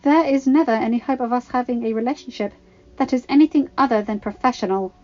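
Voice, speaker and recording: A woman's voice: on the high side, fast talker, a little mellow, lo-fi sound, voice pushed up, natural voice. A woman voicing a proud young female senator in an audio story, formal, firm and icy. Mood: stern, cold. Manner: stern, formal.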